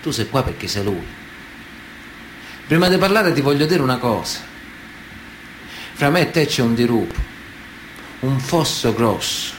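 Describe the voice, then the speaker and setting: An actor playing a stern, menacing Sicilian mafia boss in a radio play, speaking in blunt, deliberate, repetitive phrases with a Sicilian accent. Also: male voice, medium pitch, natural voice, low-quality recording. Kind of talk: dramatic radio theatre monologue. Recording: noticeable background noise.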